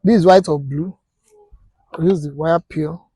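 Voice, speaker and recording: A man's voice: fairly high pitch, unhurried, dark tone, husky, no echo, studio quality. A Nigerian man teaching a hands-on practical class as an instructor, explaining step by step and quizzing listeners with rhetorical questions. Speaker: technical instructor.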